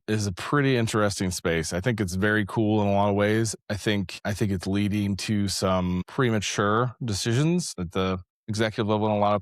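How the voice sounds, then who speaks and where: A man's voice: fairly low pitch, no echo, clean studio sound. A man speaking as an interview guest, talking casually about his work as a developer and leader, thinking aloud and rephrasing as he goes. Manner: rambling, conversational, thinks aloud with repeated rephrasing.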